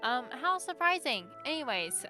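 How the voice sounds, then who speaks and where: A woman streams a game with informal, rambling commentary. Her voice sits a little high, and soft music plays behind her as she chats casually. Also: very animated, normal speed.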